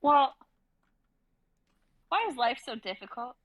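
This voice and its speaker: A woman's voice: high voice, animated, slow, fairly bright tone, no echo, voice pushed up, full character voice. A woman reading the part of an anxious, searching young woman traveler in a stage play, hesitant and earnest. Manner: hesitant, earnest, self-dismissing.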